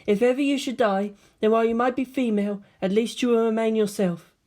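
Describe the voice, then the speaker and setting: A woman voicing a solemn, soft-spoken young girl who gives calm commands in formal, measured phrases in a story reading. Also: fairly high pitch, voice pushed up, natural voice. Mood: solemn, resolute.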